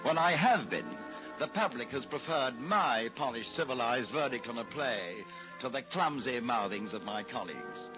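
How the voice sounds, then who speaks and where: A man's voice: mid-range voice, expressive, moderate pace, muffled recording, over music, lightly acted. A man playing a pompous, waspish newspaper drama critic in florid, condescending 1940s radio acting. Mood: haughty. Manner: florid, condescending, theatrical delivery. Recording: band-limited old radio broadcast.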